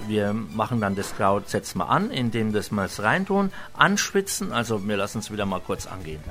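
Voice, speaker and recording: A man's voice: fairly low pitch, animated, brisk, fairly bright tone, soft background music. A chef from Franconia with a Franconian accent explains his cooking in a homely, rambling way with many hesitations. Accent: Franconian German. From Franconia, Germany. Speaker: restaurant chef. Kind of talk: cooking demonstration chat.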